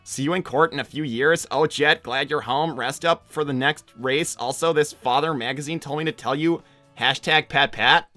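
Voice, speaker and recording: A woman voicing a laid-back but excitable young male cartoon animal, hesitant and slangy in casual improvised chat. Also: deep voice, moderate pace, no room sound, faint music behind. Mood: puzzled. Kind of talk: improvised in-character livestream chat.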